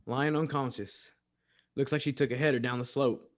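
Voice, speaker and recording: A man's voice: mid-range voice, fairly even intonation, moderate pace, lightly acted. A man playing a plain-spoken, grumbling frontier marshal in an old-time western radio drama. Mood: puzzled, grumbling.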